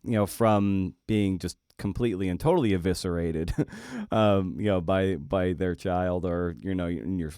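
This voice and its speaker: A man's voice: low-pitched, moderate pace, warm tone, clean tone, no echo, studio quality. A man hosting a conversational podcast interview, asking meandering questions dotted with "you know".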